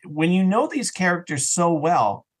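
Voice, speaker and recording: A man talking casually as an interview guest about his creative work, telling things in an animated, self-interrupting way. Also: high-pitched, a little slow. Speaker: audio drama writer and actor, interview guest.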